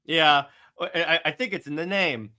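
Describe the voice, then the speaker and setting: A man hosting a casual movie discussion, talking in an excitable, emphatic way. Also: on the high side, normal speed. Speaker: podcast host.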